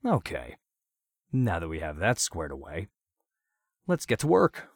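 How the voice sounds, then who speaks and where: A man's voice: sits low, huge pitch swings, unhurried, slightly rough, no echo, studio quality, full character voice. A man voicing a gleeful, sadistic male vigilante who taunts his captive and laughs often. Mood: mocking.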